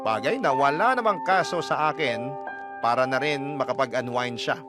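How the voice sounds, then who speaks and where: Man acting as a sincere young filipino guy telling his love story on radio, measured, confiding, music loud underneath. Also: mid-range voice, close to an everyday voice. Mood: reflective, earnest. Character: earnest, devout young man recounting his troubled romance. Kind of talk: scripted narration for Filipino radio drama. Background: loud background music.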